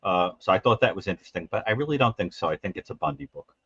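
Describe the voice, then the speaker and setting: A man talking as a guest on a live video call, rambling and halting with many restarts. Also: on the low side, animated, vocal fry, fairly bright tone, slightly rough, no echo. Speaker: forensic psychologist guest. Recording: dry, muffled call audio.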